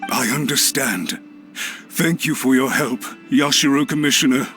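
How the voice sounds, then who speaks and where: An actor playing an anxious, groveling official in a video game, deferential, apologetic and pleading. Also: male voice, mid-range voice, normal speed, fairly bright tone, lightly acted. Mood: cowed, deferential. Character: groveling, anxious male official begging for mercy. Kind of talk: English video game voice acting. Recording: music under the voice.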